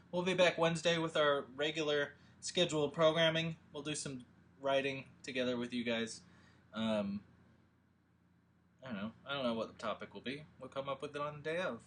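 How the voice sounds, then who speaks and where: A man hosting a casual livestream, talking in a rambling, halting way as he thinks aloud. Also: mid-range voice, fairly bright tone, slight background noise. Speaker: host and filmmaker.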